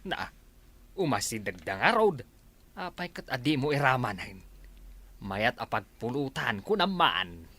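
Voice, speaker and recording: A man's voice: medium pitch, very animated, a little slow, long pauses, bright, forceful tone, a little hiss or hum. A man with an Ilocano accent playing a brash, roguish village drinker and thief in a radio comedy drama. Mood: brash, defiant.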